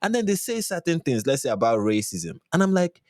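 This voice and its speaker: A man's voice: mid-range voice, big pitch swings, a little fast, no room sound, studio quality. A Nigerian man speaking casually as an interview guest, explaining his views emphatically and repeating phrases. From Lagos, Nigeria. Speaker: YouTube commentator, podcast guest.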